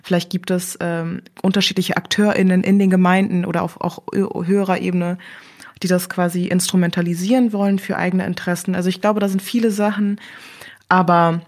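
Young woman guest, lowish voice, reflective and halting, many fillers. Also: little pitch movement, darkish tone. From Germany. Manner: hesitant, searching for words with many fillers. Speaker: interview guest. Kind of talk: reflective interview conversation.

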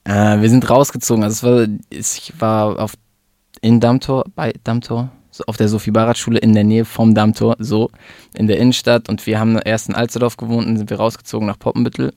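A man in his twenties talks about his life in an easy, rambling way, with plenty of fillers and restarts. His voice sits low and barely moves in pitch. The sound is close and dry. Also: little pitch movement, a little fast, warm tone, no room sound. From Germany. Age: 20s. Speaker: rapper guest. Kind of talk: casual interview chat.

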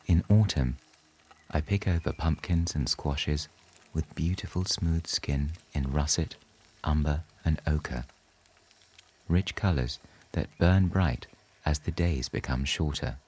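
A man narrating a scripted bedtime story in a soothing, measured manner. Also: very deep voice, darkish tone, husky.

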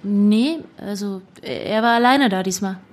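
A woman's voice: mid-range voice, a little slow, close to an everyday voice. A woman playing a young undercover activist in a naturalistic audio drama, speaking in a colloquial, guarded and hesitant way.

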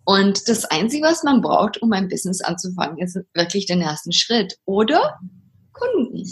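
A woman from Sweden, a coach and guest on a podcast, speaking with many pauses, fillers and restarts. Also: mid-range voice, big pitch swings. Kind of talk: podcast interview.

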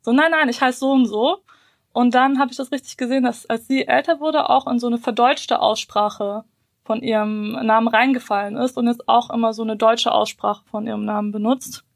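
A woman's voice: a little high, steady tone, moderate pace, vocal fry, dry recording, clean studio sound. A woman talking reflectively as a guest in a panel discussion, asking herself open questions in loose, run-on sentences.

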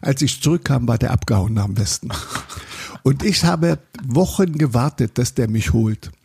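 Older guy, raspy gravelly voice, rambly interview storytelling, keeps restarting. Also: medium pitch, lively intonation, normal speed, darkish tone. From Saxony, Germany. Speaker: interviewee, photographer. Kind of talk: recollecting in a conversational interview.

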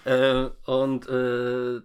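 A man talking casually as he recaps a story in a halting, stammering way with many false starts. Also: medium pitch, monotone, clear, resonant tone. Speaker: podcast co-host recapping a film. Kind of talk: casual unscripted plot recap.

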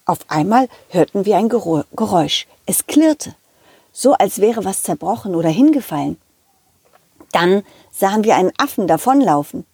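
A woman telling a children's story as an animated narrator. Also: mid-range voice, moderate pace, breathy edge, no echo. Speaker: storyteller and puppeteer.

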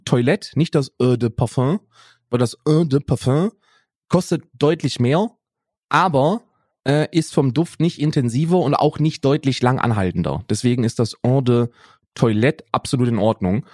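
A man's voice: medium pitch, measured pace, a little mellow, no echo, studio quality. A young adult man co-hosting a podcast in casual chat, rambling on and tagging his lines with "ne?" and "Bruder". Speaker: podcast co-host.

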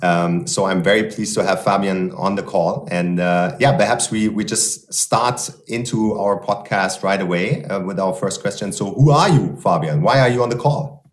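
A man's voice: deep voice, moderate pace, few pauses. A man hosting a podcast interview, speaking hesitantly with many fillers and restarts. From Germany. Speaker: podcast host. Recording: roomy echo.

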